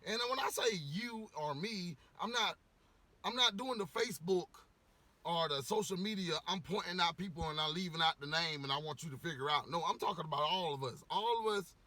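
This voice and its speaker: Slightly hoarse guy ranting to his camera, husky bright voice, rambles, repeats himself, cusses a lot. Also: a little high, no echo. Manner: rambling, repeats words, frequent "you know"; blunt, swears freely. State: losing his voice a little. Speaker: vlogger giving opinions. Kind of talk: casual rant to camera.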